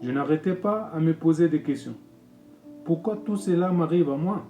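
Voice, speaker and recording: A man, a pastor reading his own testimony in a solemn, measured way. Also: on the high side, expressive, warm tone. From Congo-Brazzaville. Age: 50s. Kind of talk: read personal testimony. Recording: echoey room with music bed.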